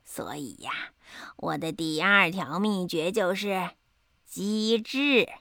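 A woman voicing a wise, proud old grandpa toad in a children's bedtime story, speaking slowly. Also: lowish voice, lively intonation, bright, forceful tone, no room sound, studio quality, pitched below the performer's own voice, full character voice. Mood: wise, patient. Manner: slow, drawn-out, gravelly storybook voice.

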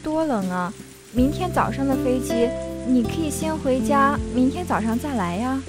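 A woman acts out a tender young woman in a radio play. Her voice is fairly high, with a gentle, hesitant, caring manner. Loud music runs behind her. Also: animated, normal speed. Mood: caring, concerned. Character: tender, selfless young woman hiding unrequited love. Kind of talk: Mandarin audio drama acting.

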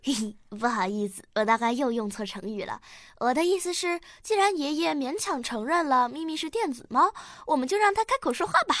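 Woman playing an eager, high-voiced young granddaughter. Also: lively intonation, brisk, a bit bright, dry recording, studio quality. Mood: playful. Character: bright, eager young granddaughter, playful and caring. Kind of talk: radio drama acting.

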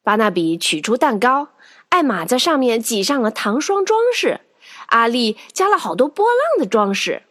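Lively higher-voiced woman storyteller. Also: normal speed, dry recording, studio quality. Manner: expressive. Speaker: narrator. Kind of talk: children's audio drama storytelling. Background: music.

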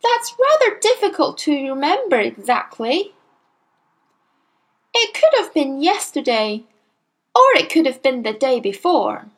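A woman's voice: high-pitched, big pitch swings, unhurried, long pauses, pitched above the performer's own voice. A woman voicing a brave, cheeky small schoolboy who answers back politely, reading from a storybook.

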